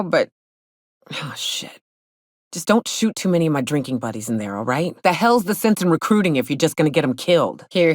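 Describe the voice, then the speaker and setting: An actress playing a gruff, hard-drinking female monster hunter in a video game, sardonic and blunt, with a loose, earthy drawl. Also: fairly low pitch, no echo, studio quality, close to an everyday voice. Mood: blunt, wary. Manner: sardonic, blunt, swaggering, colloquial swearing. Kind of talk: video game character dialogue. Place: close, dry studio recording.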